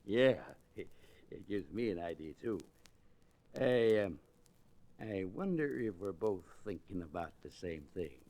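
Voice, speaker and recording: An actor playing a gruff, surly cowhand with an American Western accent and folksy speech in a 1940s radio western. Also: male voice, low-pitched, lively intonation, a little slow, dark tone. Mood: conniving. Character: gruff, surly cowhand, ringleader of quitters and henchmen.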